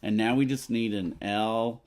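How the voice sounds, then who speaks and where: A man's voice: lowish voice, steady tone, unhurried. A man co-hosting a board game playthrough, chatting casually as he plays. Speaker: board game player and co-host.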